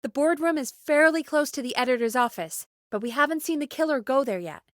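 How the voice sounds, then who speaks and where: An actress playing an earnest, excitable female radio call screener in a video game, speaking in an expressive, conversational way. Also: sits high, a little fast, no echo, studio quality. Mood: focused, helpful.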